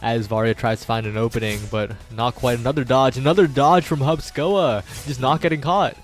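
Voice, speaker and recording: A man's voice: mid-range voice, steady tone, fairly quick, faint music behind. A man giving live play-by-play esports commentary, following the action in long running sentences.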